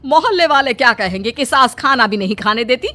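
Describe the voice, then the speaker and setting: A woman's voice: high-pitched, lively intonation, brisk, pushed and bright. A woman playing a bossy, sarcastic middle-aged Indian mother-in-law in a cartoon, scolding theatrically. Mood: indignant. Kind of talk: Hindi cartoon story dubbing.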